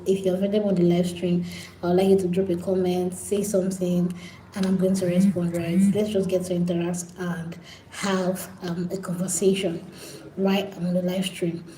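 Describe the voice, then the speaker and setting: A woman's voice: lowish voice, mellow, reverberant, slight background noise, rough recording. A Nigerian woman hosting a casual live stream, pleading and repetitive as she talks straight to her viewers.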